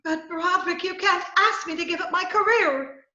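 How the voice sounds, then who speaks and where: A woman's voice: very high-pitched, normal speed, bright, forceful tone, full character voice. A woman playing a scheming, coaxing woman who poses as a poisoner gangster, with breezy melodramatic patter in a 1940s-style radio comedy. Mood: flustered. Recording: roomy, slightly dull video-call recording.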